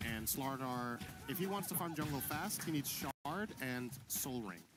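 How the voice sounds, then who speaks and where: A man giving analytical commentary on a video game match, measured and explanatory, often pausing with ums. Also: mid-range voice, lively intonation. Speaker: color analyst. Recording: broadcast commentary over game music.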